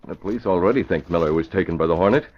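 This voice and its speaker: An actor playing a resolute, decisive crime-fighting newspaper publisher in a measured old radio drama style. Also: male voice, sits low. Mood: firm, decisive. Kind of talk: 1940s radio drama acting. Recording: muffled, noisy old radio recording.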